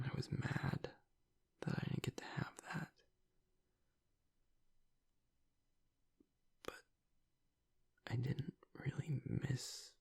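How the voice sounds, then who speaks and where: A man acts out a young male werewolf opening up in an intimate audio drama, his tough front giving way to grief. His voice is very creaky and breathy, often sinking toward a whisper. He speaks in a narrow, subdued range, hesitating and choked up as he confides. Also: medium pitch, full character voice. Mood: wistful, grieving. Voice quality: breathy, gravelly near-whisper. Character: grieving young male werewolf boyfriend, tough but vulnerable. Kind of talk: intimate audio roleplay monologue.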